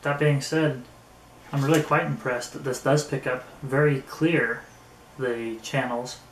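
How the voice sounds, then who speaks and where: Even-toned man reviewing gadgets in echoey room. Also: medium pitch. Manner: halting, thinking aloud while handling items. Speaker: product reviewer. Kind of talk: casual unscripted gadget demonstration. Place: indoor room. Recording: echoey room, faint background noise.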